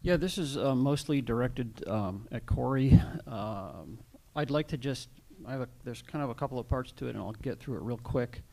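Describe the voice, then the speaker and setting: A man in the audience of a panel talk, asking a long question and telling his own experiences, with many pauses and fillers. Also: mid-range voice, animated, gravelly, warm tone. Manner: hesitant, many fillers and restarts. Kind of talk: rambling personal account at a panel Q&A.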